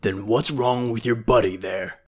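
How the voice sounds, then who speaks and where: A man playing a suspicious, bossy police officer in a comic dub, curt and gravelly. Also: medium pitch, steady tone, unhurried, natural voice. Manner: curt, demanding. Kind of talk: comic book dub acting.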